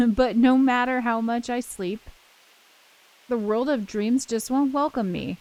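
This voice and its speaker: A woman's voice: on the high side, measured pace, darkish tone, low-quality recording. A woman hosting a let's play, switching between casual chat and reading a visual novel's text aloud. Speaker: host of a gaming channel. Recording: music playing behind the voice. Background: game soundtrack music.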